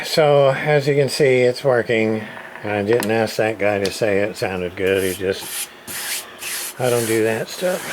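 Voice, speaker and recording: A man giving a casual, off-the-cuff demonstration as a radio repair hobbyist, halting and folksy in a plain-spoken way. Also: medium pitch. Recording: clear background radio noise and hiss. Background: radio noise.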